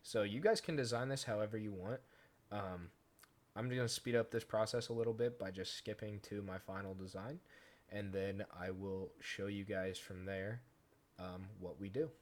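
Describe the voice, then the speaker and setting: A man hosting a software tutorial, explaining each step informally in a casual, conversational way. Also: on the low side, steady tone, normal speed. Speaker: tutorial host. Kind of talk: casual screen-recorded software tutorial narration.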